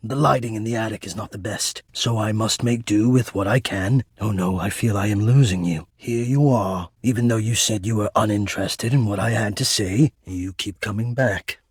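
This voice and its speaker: A man's voice: on the low side, moderate pace, few pauses, slightly rough, dry recording, studio quality. An actor voicing a lovesick, anxious male spirit of the air vents in a video game, with intense, theatrical and rather formal delivery. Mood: anxious, apologetic. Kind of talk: dramatic romantic video game voice acting.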